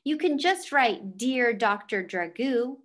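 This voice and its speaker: A woman's voice: on the high side, expressive, slow pace, fairly bright tone, full character voice. A woman voicing a kind, patient male schoolteacher in a children's storybook, easygoing and encouraging. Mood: easygoing, helpful.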